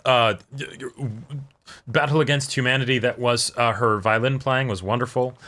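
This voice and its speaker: A man's voice: mid-range voice, steady tone, normal speed, bright, forceful tone. A man co-hosting a casual anime reaction discussion, easygoing, laughing along and giving many short agreements. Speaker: co-host of a reaction show.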